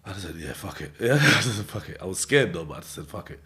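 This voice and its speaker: London guy with a low voice, telling a story in street slang, laughs a lot and does everyone's voices. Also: very animated, quick pace, pushed and bright. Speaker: interview guest. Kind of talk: casual storytelling interview.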